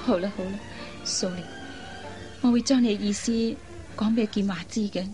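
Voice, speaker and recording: A woman playing a kind, persuasive young elder sister in a Cantonese radio drama, coaxing and teasing in drawn-out phrases. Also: mid-range voice, steady tone, music in the background, lightly acted. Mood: gentle, persuasive.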